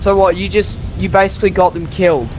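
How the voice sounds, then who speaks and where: Man playing a high-pitched, agitated survivor. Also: flat delivery, quick pace, noisy background, close to an everyday voice. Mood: agitated, accusing. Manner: insistent, confrontational. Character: agitated, accusing male survivor. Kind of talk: improvised roleplay acting in a video game skit.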